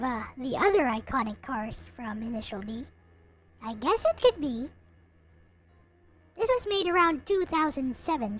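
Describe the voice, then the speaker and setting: A woman streaming, chatting casually and reading a visual novel aloud in an expressive manner. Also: high voice. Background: music.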